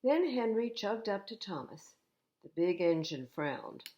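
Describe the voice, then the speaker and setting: An older woman reading children's stories aloud at storytime, expressive and unhurried, with little asides to young listeners. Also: mid-range voice, dull audio. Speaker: library storytime reader.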